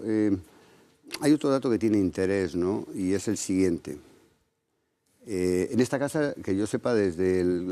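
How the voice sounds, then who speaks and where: A man from Galicia answering questions in a TV interview, measured, often ending points with a questioning tag. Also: low-pitched, dark tone. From Galicia, Spain. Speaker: interviewed candidate.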